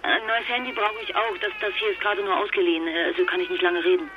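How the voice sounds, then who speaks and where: Distraught wife on a noisy phone. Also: female voice, on the low side, steady tone. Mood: flustered, hurried. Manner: halting, stammering, emotionally fragile. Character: distraught, exhausted wife and mother stranded abroad. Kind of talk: naturalistic radio drama phone dialogue. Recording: noisy muffled phone-line sound.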